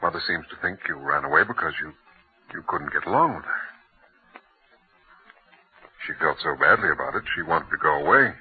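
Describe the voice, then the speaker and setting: A man's voice: extremely low pitch, lively intonation, brisk, pauses often, slightly rough, full character voice. A man playing an earnest, doting husband and father in an old-time radio drama, tender and emphatic. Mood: gentle, coaxing. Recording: muffled, narrow-band old radio recording.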